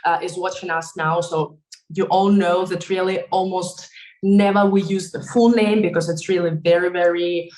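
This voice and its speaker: A woman in her thirties with a Belarusian accent speaks in a fairly low voice. Russian is her first language, and she tells her story in an interview with lively fillers and easy laughs. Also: normal speed, rough recording. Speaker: interview guest. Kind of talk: conversational interview.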